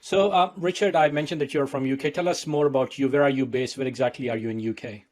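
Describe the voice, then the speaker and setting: Man, podcast host, friendly and conversational, mid-pitched. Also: fairly even intonation, fast talker, a little mellow. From India. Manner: friendly, chatty questioner. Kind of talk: casual podcast interview.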